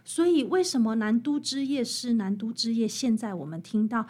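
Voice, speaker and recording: This woman has a fairly high voice and a Taiwanese Mandarin accent. She talks as an interview guest, explaining history conversationally and stressing key words as she tells her stories in an animated way. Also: moderate pace. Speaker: author guest.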